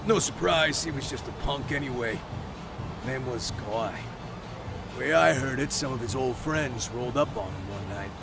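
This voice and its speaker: An actor playing a brash, boastful young street thug with a gravelly, slangy delivery in an English video game dub. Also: male voice, mid-range voice, moderate pace, background noise, natural voice. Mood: boastful, offhand.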